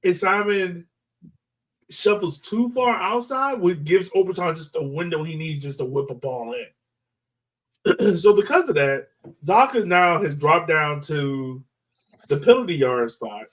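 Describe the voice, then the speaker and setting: A man's voice: on the high side, animated, unhurried, fairly bright tone, far from the mic, low-quality recording. A man co-hosting a podcast, talking through soccer analysis in an informal, emphatic way full of filler words. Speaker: podcast co-host, soccer fan. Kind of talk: casual sports analysis chat.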